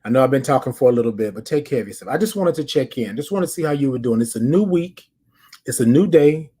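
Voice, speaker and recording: A man's voice: medium pitch, expressive, quick pace, dark tone, breathy edge. An American man hosting a casual podcast, chatting and telling stories straight to his listeners, repeating phrases for emphasis. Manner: addresses listeners directly as an audience. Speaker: podcast host. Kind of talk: casual chatty check-in and storytelling.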